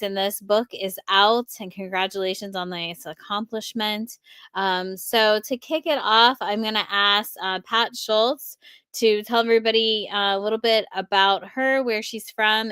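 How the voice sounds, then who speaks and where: A woman's voice: medium pitch, a bit bright, clean tone, no room sound. A woman hosting a live book-launch stream over a video call, introducing guests with enthusiasm. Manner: enthusiastic, quick when handing over to guests. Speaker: host of a book launch livestream.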